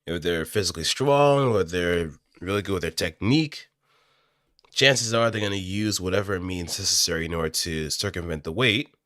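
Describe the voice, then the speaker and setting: A man with a low voice that still has a bright, forward edge. He talks in an off-the-cuff, explanatory way, musing aloud as he works through ideas. The recording is close and very dry. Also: normal speed, no echo. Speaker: amateur voice actor. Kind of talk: casual improvised explanatory commentary. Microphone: close studio microphone through an audio interface.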